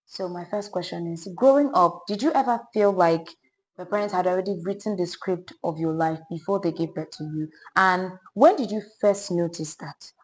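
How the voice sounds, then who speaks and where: A woman's voice: lowish voice, normal speed, poor audio. A woman hosting a podcast interview, chatty and often finishing her guest's sentences. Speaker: podcast host.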